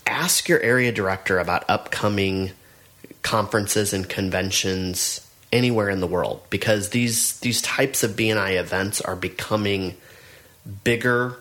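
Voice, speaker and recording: Middle-aged man, somewhat low voice, earnest, encouraging podcast co-host. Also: a little slow, a bit bright. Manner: earnest, encouraging, with frequent restarts. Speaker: podcast co-host, business network trainer. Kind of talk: casual podcast chat.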